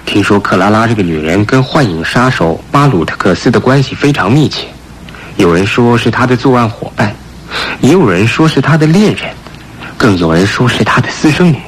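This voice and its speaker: 男演员饰演沉稳果断、发号施令的警长. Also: male voice, medium pitch, expressive, a little fast, a little hiss or hum, natural voice. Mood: confiding, analytical. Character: shrewd, authoritative French police chief on a stakeout. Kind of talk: Mandarin radio drama acting.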